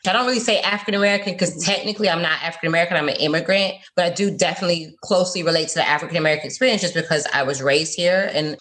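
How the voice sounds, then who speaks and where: A woman in her forties from South Florida, a college professor being interviewed on a podcast, who talks in a lively, earnest way and laughs easily. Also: on the low side, racing, few pauses. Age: 40s.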